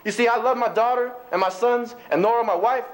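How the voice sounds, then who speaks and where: A man's voice: extremely high pitch, a little fast. A man voicing a bigoted old rancher grandfather in a folksy drawl, reciting a dramatic monologue poem. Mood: bewildered, softening. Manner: folksy drawl, exclaiming, colloquial rural speech.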